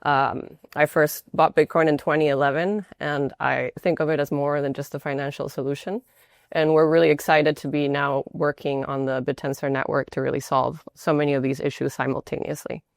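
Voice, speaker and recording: Low smooth-voiced woman from Peru. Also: level tone, a little fast, no room sound, studio quality. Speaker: co-founder, guest. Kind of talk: podcast interview.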